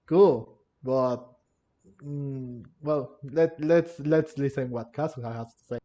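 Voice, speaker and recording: A man giving casual gameplay commentary, halting and often repeating or rephrasing himself. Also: mid-range voice, mellow. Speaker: gamer narrating a playthrough. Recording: muffled lo-fi mic, game music behind. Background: video game music.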